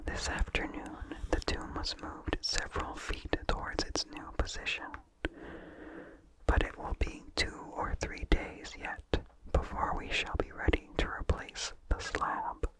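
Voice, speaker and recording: A person reading a vintage horror story as ASMR in an unvoiced whisper, slowly and deliberately, in short phrases with pauses. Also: rough recording. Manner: slow, deliberate, pausing between short phrases. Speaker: narrator.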